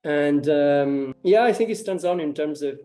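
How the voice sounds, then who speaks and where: A man's voice: a little high, a little slow, dark tone. A man from Romania, likely in his thirties, answering interview questions in a hesitant way, with many fillers and restarted phrases. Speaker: interviewee, artist and ecology researcher.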